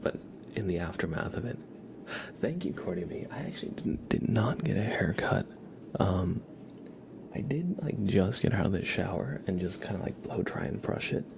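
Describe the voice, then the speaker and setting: Male ASMR streamer, lowish creaky voice, gentle rambling chat drifting into whispers, noticeable background noise. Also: lively intonation, normal speed. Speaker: ASMR livestream host. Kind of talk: soft-spoken ASMR with casual livestream chat.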